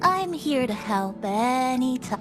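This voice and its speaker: High, unhurried playful young-sounding cafe attendant. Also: female voice, lively intonation. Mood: friendly, helpful. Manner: gently teasing, unhurried. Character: playful, kindly female cafe attendant addressing a passenger. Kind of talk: video game character dialogue. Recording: background music behind the voice. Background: game background music.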